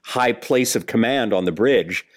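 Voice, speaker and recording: An older man in a casual, reflective panel discussion, speaking in a halting, thoughtful way. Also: mid-range voice. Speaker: podcast panelist.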